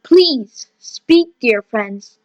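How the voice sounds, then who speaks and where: A woman's voice: a little high, lively intonation, very slow, no room sound. A woman playing an anxious, suspicious male emperor in an amateur audio play, speaking in a declamatory way. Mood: regal, concerned.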